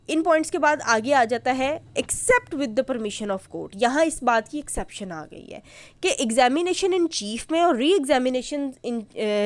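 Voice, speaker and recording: A woman with a Pakistani accent teaches in a fairly high voice, captured dry and close without room sound. She explains step by step like a lecturer, repeating key points and checking that listeners follow. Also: no echo. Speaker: law lecturer. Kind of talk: explanatory lecture mixing Urdu and English.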